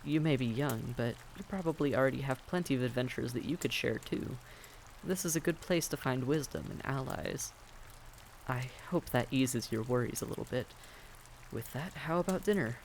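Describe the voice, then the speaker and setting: A man's voice: mid-range voice, moderate pace, creaky, a little hiss or hum, natural voice, rough recording. A man playing a friendly, reassuring vampire innkeeper in a fantasy audio roleplay, speaking courteously and conversationally to the listener. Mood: gentle, comforting.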